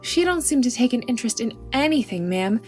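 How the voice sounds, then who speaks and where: Anxious high-pitched country servant girl. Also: big pitch swings, a little fast, a little mellow, faint music behind. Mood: worried, confiding. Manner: rustic folksy dialect, deferential, breathlessly earnest. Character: anxious, devoted young maidservant girl, earnest and chattery. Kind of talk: audiobook character acting.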